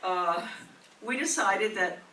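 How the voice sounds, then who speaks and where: A woman's voice: medium pitch, slow pace, pushed and bright, far from the mic, a little hiss or hum. An older woman giving a rambling, chatty speech of memories to a gathering, often asking a friend to remember. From Maine. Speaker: honoree's friend and speaker. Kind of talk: casual reminiscing speech. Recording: echoey room picked up from afar.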